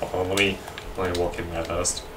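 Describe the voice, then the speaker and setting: A man's voice: low voice, little pitch movement, moderate pace. A man chatting bluntly and casually while playing a video game, swearing freely. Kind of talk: casual gameplay chat. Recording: distant mic with clear background noise.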